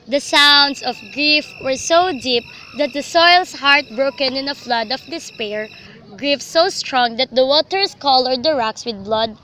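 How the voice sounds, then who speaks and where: Girl narrator for a radio play, really high bright voice, dramatic storytelling, bit of noise behind. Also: poor audio.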